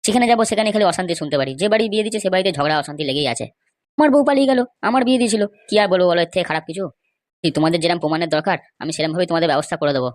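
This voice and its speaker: Woman doing aggrieved cartoon village guy out for revenge, talks super fast, gossipy, dry close sound. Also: lowish voice, expressive, no echo, close to an everyday voice. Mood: scheming, resentful. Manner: emphatic, gossipy, rapid-fire complaining. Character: aggrieved, scheming male villager bent on revenge. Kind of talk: amateur comedy cartoon dialogue.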